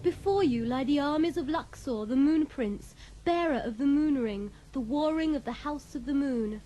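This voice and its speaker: An actress playing a gentle, welcoming young fae woman in a fantasy audio drama, speaking in formal, archaic, poetic phrases. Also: high-pitched, moderate pace, lightly acted, poor audio. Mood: solemn, proud.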